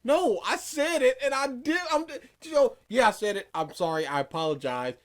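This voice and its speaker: A man co-hosting a casual commentary podcast, talking sarcastically and slipping into quick mimicry of the person he is mocking. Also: very high-pitched. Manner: animated, sarcastic, mimics others mid-sentence. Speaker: podcast co-host.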